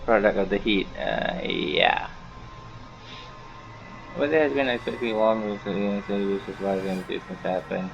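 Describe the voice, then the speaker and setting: A man's voice: lowish voice, expressive, moderate pace, creaky, music in the background. A man giving a casual video game playthrough commentary, rambling and reading the on-screen text aloud in a half-mumble. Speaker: gaming YouTuber. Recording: dull, noisy home gaming recording.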